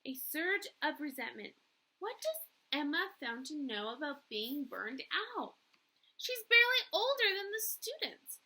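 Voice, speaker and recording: A woman teacher reading a storybook aloud with expressive narration. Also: sits high, rough recording.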